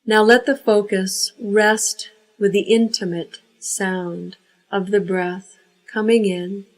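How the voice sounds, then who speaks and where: A woman leading a guided yoga nidra relaxation, giving unhurried, measured instructions. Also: mid-range voice, fairly even intonation, rough recording.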